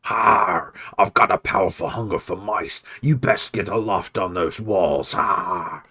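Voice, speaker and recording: A man's voice: sits low, measured pace, pushed and bright, husky, exaggerated voice. A man voicing a menacing one-eyed male rat warlord, talking with growling contempt. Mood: snarling.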